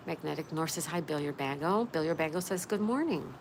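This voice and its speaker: A woman's voice: low voice, lively intonation, brisk, a little hiss or hum. A woman in her sixties hosting a casual livestream, chattily reading out comments and greeting viewers. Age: 60s. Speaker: livestream host.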